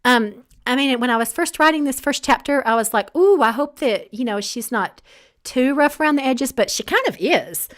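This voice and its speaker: A woman with a Southern accent from Alabama, an author chatting casually on a livestream and reading out viewer comments, rambling with many pauses and restarts. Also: high-pitched, expressive. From Northeast Alabama. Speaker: author hosting a live book chat.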